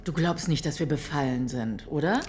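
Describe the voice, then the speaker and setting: An actress playing a weary, steadfast farmer's wife in a radio drama, hushed, intimate and practical in her reassurance. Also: sits low, moderate pace, a little hiss or hum, lightly acted. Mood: weary, guarded. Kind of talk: naturalistic radio drama dialogue.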